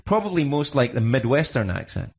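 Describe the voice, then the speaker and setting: A man's voice: mid-range voice, very animated, fairly quick, gravelly, darkish tone, husky. A man with a Scottish accent hosting a radio talk show, joking around playfully with a guest. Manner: playful, jokey, slips into silly put-on voices. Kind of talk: casual comedic chat.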